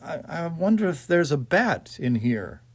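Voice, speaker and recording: A man voicing a nervous character lost in the dark for a story, muttering hesitantly with uneasy bravado. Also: fairly high pitch, very animated, measured pace, husky, voice pushed up, exaggerated voice. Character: nervous man lost in darkness, forcing himself calm.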